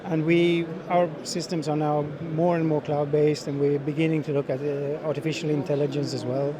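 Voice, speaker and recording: A middle-aged man giving unscripted interview answers as a sales manager, speaking in halting phrases with frequent repeats. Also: fairly high pitch, level tone. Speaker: sales manager being interviewed at a trade show. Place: busy exhibition hall. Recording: busy trade show crowd noise.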